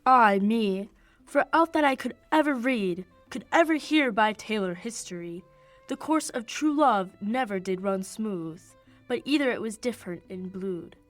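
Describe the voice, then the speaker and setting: A woman's voice: medium pitch, animated, moderate pace, faint music behind, natural voice. A young woman playing an ardent young nobleman in love in a radio play of Shakespeare, speaking poetic verse. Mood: tender, lamenting. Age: young adult. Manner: declamatory, poetic verse delivery.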